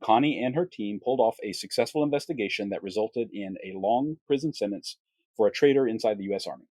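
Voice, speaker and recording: A man's voice: on the low side, fast, darkish tone, dry recording, rough recording. A man hosting a podcast interview, reading his sponsor thanks and asking earnest questions. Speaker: podcast host.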